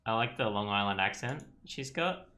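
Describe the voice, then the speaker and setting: A man's voice: fairly low pitch, animated, moderate pace, bright, forceful tone. A man doing casual let's play commentary, rambling and self-deprecating. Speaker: game streamer.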